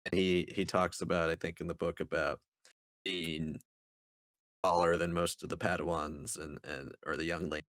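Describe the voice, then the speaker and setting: Deep voice guy, podcast cohost chatting casually, very dry room sound. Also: level tone, normal speed, fairly bright tone, no echo. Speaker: podcast co-host reviewing books.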